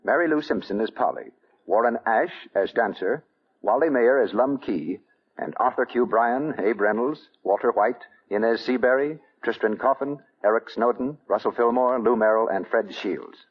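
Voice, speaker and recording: A man's voice: mid-range voice, normal speed, husky, lo-fi sound. A man announcing in 1940s radio style, reading out cast credits on an old broadcast recording.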